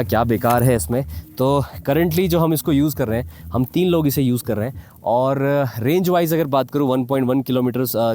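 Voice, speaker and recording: A man's voice: medium pitch, warm tone. An Indian man gives a casual, explanatory review to viewers, mixing English phrases into his speech, in the style of a motovlogger. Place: outdoors in a mountain valley. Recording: clearly noisy outdoor ambience.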